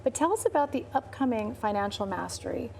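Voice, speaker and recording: A woman hosting a podcast interview in a casual, enthusiastic way, quick to laugh and praise her guest. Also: fairly high pitch, sing-song, vocal fry, darkish tone, slight background noise. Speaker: podcast host.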